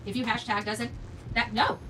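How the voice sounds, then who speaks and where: Indignant female livestreamer, bright forceful delivery, recorded at a distance from the mic. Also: a little high, level tone, normal speed. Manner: indignant, emphatic, repeats herself for stress. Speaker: YouTube channel host. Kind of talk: livestream commentary, reading documents aloud. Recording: distant mic, noticeable background noise.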